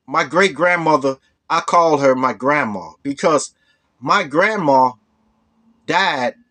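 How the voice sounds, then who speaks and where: A hoarse man with a cold telling a rambling personal story as the host of a storytelling channel. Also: a little high, pushed and bright. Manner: rambling, full of "you know". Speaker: storytelling vlogger. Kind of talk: casual storytelling.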